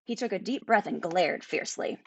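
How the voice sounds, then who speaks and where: A woman narrating a children's fantasy book aloud as its author, in an expressive storytelling style. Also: lowish voice, brisk, husky. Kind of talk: animated children's audiobook narration.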